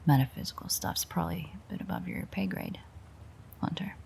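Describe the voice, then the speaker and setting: A woman playing a weary, sardonic, teasing female vampire in an intimate audio roleplay, with a breathy, husky voice that often drops near a whisper and a dry, sarcastic way of talking. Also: lowish voice, a little fast, darkish tone, a little hiss or hum. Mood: weary, musing. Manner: dry, rambling, sarcastic banter.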